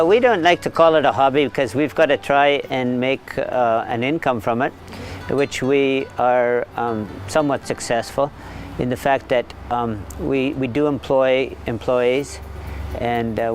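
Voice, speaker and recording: A middle-aged man speaks casually in a documentary interview, at a medium pitch. He is plain-spoken and pauses often as he goes. Music is clearly audible behind him. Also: fairly even intonation. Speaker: horse farm owner and breeder.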